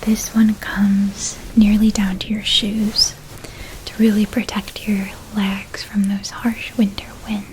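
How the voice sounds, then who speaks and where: A woman playing a gentle, nurturing witch in a whispered ASMR roleplay, speaking in a soft, breathy, doting manner and lingering over details. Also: mid-range voice, flat delivery, slow pace, a little hiss or hum, close to an everyday voice, rough recording. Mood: gentle, caring. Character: gentle, nurturing witch helping a young student pack.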